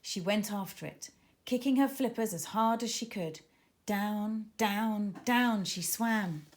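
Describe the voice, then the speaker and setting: A woman's voice: mid-range voice, takes it slowly. A woman narrating a children's story expressively, with dramatic emphasis as she reads. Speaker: author reading own children's book.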